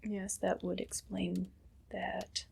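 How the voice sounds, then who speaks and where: A woman playing a haughty, condescending evil queen in a whispered ASMR roleplay, slow and disdainful, with a breathy voice. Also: mid-range voice, level tone, darkish tone, exaggerated voice. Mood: disgusted, condescending.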